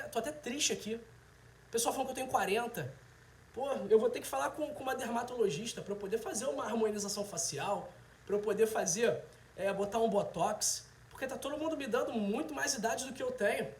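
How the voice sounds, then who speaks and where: A man of about thirty with a Brazilian accent, chatting casually and directly to viewers as a livestream host. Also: very high voice. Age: 30s. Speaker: host, health video presenter. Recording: roomy, echoing sound.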